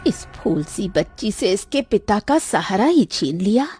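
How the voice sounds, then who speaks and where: A woman's voice: mid-range voice, big pitch swings, measured pace, darkish tone, soft background music. A woman voicing a poor, kindly village mother in a cartoon tale, sorrowful and comforting. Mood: pitying. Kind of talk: Hindi cartoon story dialogue.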